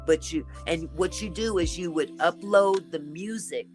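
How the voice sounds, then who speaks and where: A woman with a deep, raspy voice talking in a relaxed, chatty way as a guest in a casual conversation. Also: a little slow, soft background music. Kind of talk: casual chat.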